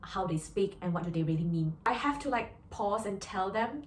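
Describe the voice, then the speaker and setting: A woman's voice: medium pitch, expressive, normal speed. A young woman with a Malaysian accent, a doctor talking earnestly in a casual vlog, with many fillers and restarts. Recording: echoey room with faint noise.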